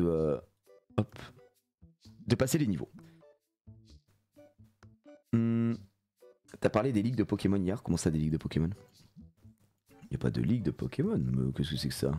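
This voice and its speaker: A man in his thirties with a cold, streaming a game live and joking loosely with chat, often swearing. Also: on the low side. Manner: animated, jokey, swears a lot, reads chat aloud. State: congested with a cold. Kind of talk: live gameplay stream chatting with viewers.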